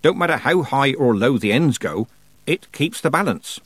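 Storybook role: prickly old country witch woman, played by a man, brusque and stern, raspy growl, very animated delivery. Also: mid-range voice, moderate pace, no echo, pitched above the performer's own voice. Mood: triumphant, instructive. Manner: brusque, folksy rural turns of phrase. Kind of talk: audiobook character voice.

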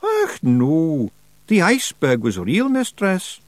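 A high, squeaky little male pixie, as a male reader puts him on, talking. He is cheeky, shifty and nervous, and his voice leaps about wildly. The sound is close and dry. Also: wildly swooping pitch, slow pace, dry recording, exaggerated voice. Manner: shifty, evasive.

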